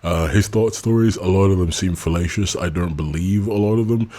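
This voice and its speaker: Male channel host, deep husky voice, candid and blunt in a relaxed Q&A. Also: fairly quick. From England. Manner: candid and blunt, laughs often. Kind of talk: casual subscriber Q&A.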